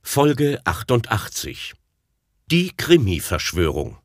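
A man's voice: on the low side, wildly swooping pitch, takes it slowly, dry recording, clean studio sound. A man giving a dramatic scripted title announcement.

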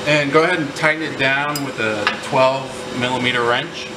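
Male technician, thirties, mid-pitched voice, casual instructional walkthrough, recorded over clearly audible machine hum. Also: fairly even intonation, moderate pace. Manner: informal, step-by-step explaining. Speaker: technician demonstrating equipment maintenance. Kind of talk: casual hands-on instructional demonstration. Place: indoor lab among large machines. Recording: roomy, distant mic, loud machine hum. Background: air conditioning and machinery noise.

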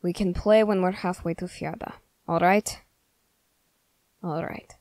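This is a stern, guarded young soldier of the snowy north , acted by a woman in an ASMR roleplay. The voice sits on the low side and stays very flat. The words come very slowly, in formal, halting phrases spoken straight to the listener. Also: dry recording, close to an everyday voice. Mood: gently stern. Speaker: roleplay voice performer.

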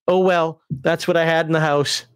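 A man's voice: on the high side, clear, resonant tone, no room sound. A middle-aged man hosting a casual livestream from home over a video call, reading chat messages and laughing often. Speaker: livestream host.

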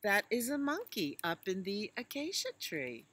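Woman as a patient, slow-talking mother elephant. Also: mid-range voice, sing-song, fairly bright tone, husky, pitched above the performer's own voice, heavily acted. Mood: patient, instructive. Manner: patient, instructive. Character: patient, gentle mother elephant teaching her little one.

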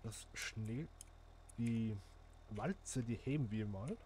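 A man's voice: lowish voice, slow, darkish tone, slight background noise. A man, chatting casually to viewers and thinking aloud as he plays a simulation game. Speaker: gaming channel host. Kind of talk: casual gameplay commentary.

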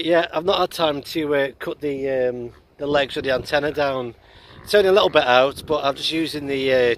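A man's voice: mid-range voice, slight background noise. A middle-aged man chatting casually and a little hesitantly outdoors, as an amateur radio operator making a vlog. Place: outdoors on open heathland by a road.

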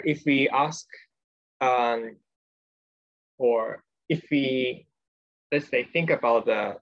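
Slow guy thinking aloud on a video call, raspy fry in the voice. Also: medium pitch, lo-fi sound. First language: Japanese. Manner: slow, tentative, hedging. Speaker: podcast co-host. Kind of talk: academic discussion over a video call.

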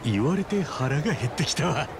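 An actor playing a friendly, rough-spoken, big-hearted man in a video game cutscene, with casual, chummy street slang. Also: a little high, animated, normal speed, noisy background. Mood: easygoing, upbeat.

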